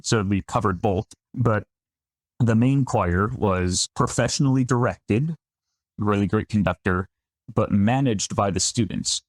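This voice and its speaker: A man's voice: fairly low pitch, no room sound. A man in his thirties from upstate New York, a winemaker guest on a podcast, chatting in a self-deprecating way with frequent restarts. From Finger Lakes region of New York. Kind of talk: conversational podcast interview.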